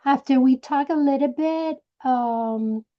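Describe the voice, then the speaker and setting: A woman from Puerto Rico with a Puerto Rican Spanish accent, Spanish her first language, telling her personal story slowly and searching for words. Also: sits high, lo-fi sound. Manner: slow, searching for words, many restarts. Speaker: direct sales director. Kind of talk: personal story told to a group.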